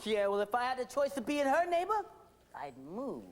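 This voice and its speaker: High, bratty, whiny kid character. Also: huge pitch swings, moderate pace. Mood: grumpy, defiant. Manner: taunting, defiant, whiny. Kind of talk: children's educational musical puppet show. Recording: old VHS tape, music behind.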